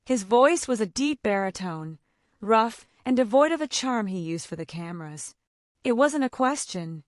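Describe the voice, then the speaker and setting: A woman narrating a romance story in an expressive, dramatic storytelling manner. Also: a little high, normal speed, no echo, clean studio sound.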